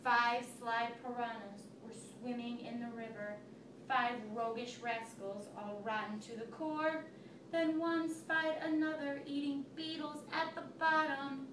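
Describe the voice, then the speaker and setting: A woman's voice: fairly high pitch, fairly even intonation, measured pace. A woman teacher reading a picture book aloud to a child, telling it expressively and stopping to ask questions. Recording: echoey room, recorded from far off, noisy.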